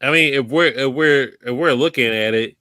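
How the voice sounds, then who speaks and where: A man's voice: mid-range voice, moderate pace, sharp tone, clear, resonant tone, no echo, clean studio sound. A man on a casual group chat, blunt and crude in his opinions.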